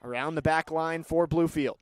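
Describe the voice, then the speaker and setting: A young man calling live sports play-by-play, moving between measured description and excited calls. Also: on the high side, smooth, dry recording. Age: young adult.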